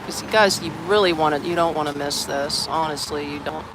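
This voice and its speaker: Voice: medium pitch, fairly even intonation, brisk. An expat from Orlando, Florida chatting casually on a live stream from an outdoor garden café, rambling with lots of "like" and "man". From Orlando, Florida, United States. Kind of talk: casual livestream chat. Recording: outdoor stream with traffic noise. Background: outdoor ambience with traffic.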